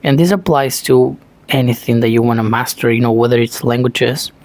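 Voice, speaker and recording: Flat-voiced Argentine man, earnest pep talk. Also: mid-range voice, normal speed. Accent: Argentine Spanish. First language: Spanish. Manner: frequent "you know" fillers, earnest. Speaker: self-taught English learner, channel host. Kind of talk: motivational talk to camera.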